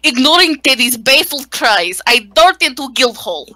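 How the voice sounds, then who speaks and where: A woman with a high, very animated voice reads a visual novel aloud as narrator. She is giggly and self-mocking, breaking off into casual asides with friends. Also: moderate pace. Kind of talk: casual group read-aloud, narration mixed with joking.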